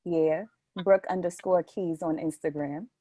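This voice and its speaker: A woman's voice: low voice, steady tone, unhurried, warm tone, no echo. A woman playing a supportive, flirty train rider in a stage play reading. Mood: friendly. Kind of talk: readers theatre dialogue.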